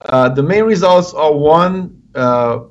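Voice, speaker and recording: A man's voice: a little high, lively intonation, slow pace. Male neurosurgeon, 30s, hesitant explainer, muffled video-call audio. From Afghanistan, raised in Germany. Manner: hesitant with many fillers, explanatory. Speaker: neurosurgeon, interview guest. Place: home office. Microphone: video call webcam.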